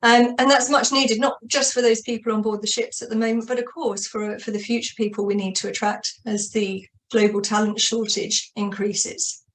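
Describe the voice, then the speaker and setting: A woman's voice: on the high side, little pitch movement, moderate pace, few pauses, rough recording. A woman hosting an online webinar and opening it with upbeat remarks over a video call. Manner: upbeat, many fillers and restarts. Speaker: webinar host.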